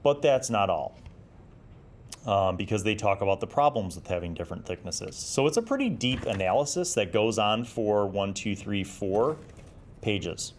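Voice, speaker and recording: A man's voice: on the low side, animated, normal speed, a little hiss or hum. A middle-aged man giving casual, conversational commentary as he reads and reviews an article, with a wry, nerdy manner. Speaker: host of a retro tabletop gaming review series.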